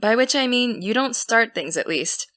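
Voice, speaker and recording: A young actress playing an earnest high school girl who wants to be a journalist, defensive and dry. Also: animated, normal speed, fairly bright tone, dry recording. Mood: pleading. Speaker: student podcast host. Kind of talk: audio drama.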